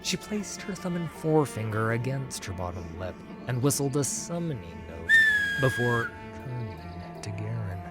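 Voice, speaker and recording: A man narrating a fantasy story in an intense, dramatic scripted style, dropping at times into hushed tones. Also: medium pitch, big pitch swings, normal speed. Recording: music under the voice. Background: music.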